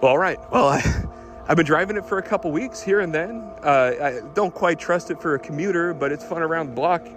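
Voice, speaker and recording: A man playing an excitable, obsessive car lover who records a rambling, stammering, eager audio diary. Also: on the high side, music in the background, lightly acted. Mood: fixated, uneasy. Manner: halting, stammering, breathlessly eager.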